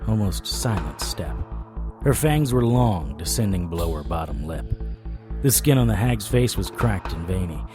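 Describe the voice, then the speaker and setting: An actor playing a wry, hard-boiled occult investigator, narrating and speaking in a scripted audio drama. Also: male voice, on the low side, lightly acted. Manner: wry, sardonic.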